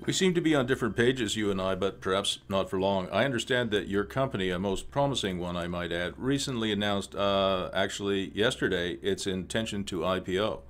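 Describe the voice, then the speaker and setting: A man playing a suavely threatening government official in a radio play, condescending, formal and deliberate. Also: sits low, lightly acted. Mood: veiled threat.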